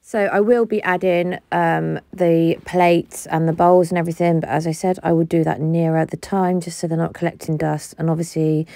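Woman, mellow low voice, chatty and relaxed walking viewers through chores. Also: moderate pace, creaky, few pauses, dry recording. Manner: relaxed and chatty, explains steps with frequent fillers. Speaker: home cleaning vlogger. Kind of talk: casual voice-over narration.

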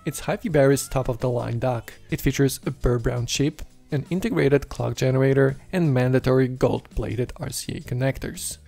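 Male tech presenter for scripted tutorial voice-over, noticeable vocal fry, music bed underneath. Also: mid-range voice, normal speed, hardly any pauses, mellow. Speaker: tech video host. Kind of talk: scripted how-to voice-over narration. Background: background music.

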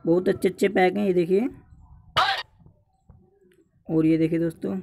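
A man's voice: sits high, fairly even intonation, normal speed, vocal fry, dark tone. A young man narrating a casual tutorial, repeatedly urging and addressing his viewers as friends. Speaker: tutorial YouTuber.

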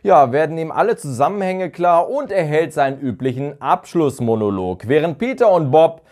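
A man in his thirties presents a review from a room at home. His voice sits a little high and moves with lively melody, as he explains and gives his opinions in a semi-scripted commentary. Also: moderate pace. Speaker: video reviewer, channel host. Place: small furnished room at home, indoors. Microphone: lapel mic.

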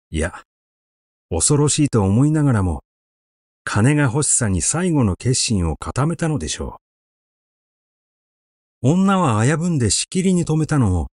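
A man reading literary fiction aloud as scripted narration, in a measured, dramatic storytelling manner. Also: mid-range voice, darkish tone, dry recording, clean studio sound. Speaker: audiobook reader. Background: music.

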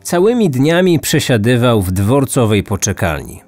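A man's voice: medium pitch, big pitch swings, moderate pace, a little mellow. Male true-crime narrator over music. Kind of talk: scripted narration. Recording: music under the voice. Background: background music.

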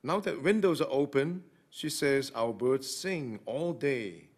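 Slow-paced older man reading poetry. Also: mid-range voice, lively intonation, husky. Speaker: amateur reader in a reading-aloud group. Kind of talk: poetry recitation. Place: library meeting room, indoors. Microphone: podium microphone.

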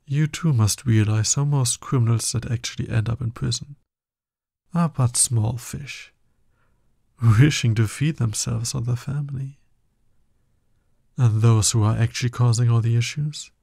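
ASMR roleplay villain, played by a man: male, smug and teasing, breathy low-key delivery, very dry close sound. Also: mellow, dry recording. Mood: coaxing, knowing. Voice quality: husky, breathy. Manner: slow, condescending, teasing. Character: smug, seductive, dominant male villain courting his hero nemesis.

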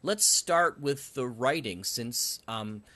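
A man's voice: mid-range voice, lively intonation, slow, bright, forceful tone. A man hosting a podcast, chatting casually as he interviews his guests.

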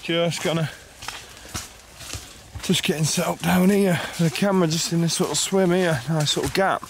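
A man vlogging a fishing trip outdoors by a lake, chatting excitably and bantering with his brother. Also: fairly high pitch, normal speed, vocal fry, a little hiss or hum. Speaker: angler vlogger. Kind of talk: casual chat.

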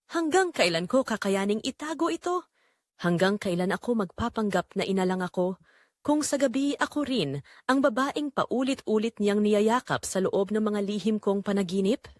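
Middle-aged mother-in-law for audio drama, played by a woman: secretly longing, guilt-ridden, deliberate emotive confession, very lively pitch, dry recording. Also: dry recording, close to an everyday voice. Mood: conflicted, confessional. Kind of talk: dramatic first-person scripted narration.

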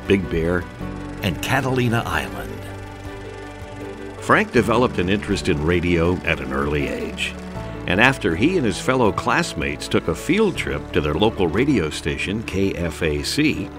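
A man reading scripted narration for a documentary. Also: deep voice.

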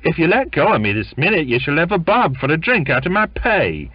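A man's voice: a little high, huge pitch swings, normal speed, no room sound, distant mic, lo-fi sound, pitched above the performer's own voice. A man voicing a good-natured young sailor who talks firmly and with easy humor.

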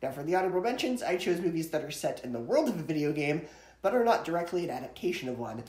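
A man's voice: on the high side, big pitch swings, quick pace, darkish tone. A young man giving an emphatic, opinionated ranked movie review to camera in a room at home. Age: young adult. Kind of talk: ranked-list video review, opinionated commentary. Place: small indoor room at home. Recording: roomy indoor echo.

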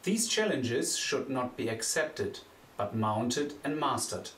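A young man, a teacher from Germany, giving a scripted presentation in an earnest, steady way. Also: mid-range voice, slow, slight background noise. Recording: echoey room, voice a bit distant from the mic.

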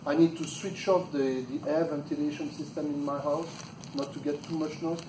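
A man's voice: on the high side, fairly even intonation, normal speed, background noise. A middle-aged man with a French accent, a native French speaker, giving a chatty, self-deprecating conference presentation with small laughs. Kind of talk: plenary presentation. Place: meeting room. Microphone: far camera. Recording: echoey room from a distant camera.

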